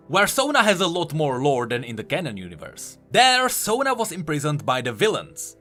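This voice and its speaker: Man, high-pitched bright voice, lively swooping intonation, emphatic game-lore commentary, music underneath. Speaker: video creator explaining game lore. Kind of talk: enthusiastic explanatory video commentary.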